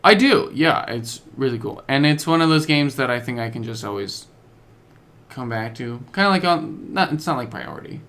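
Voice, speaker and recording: Casual young-sounding man with creaky voice. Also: medium pitch, expressive, normal speed, a bit bright. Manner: slangy, informal storytelling. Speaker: podcast co-host. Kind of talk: casual chat about video games.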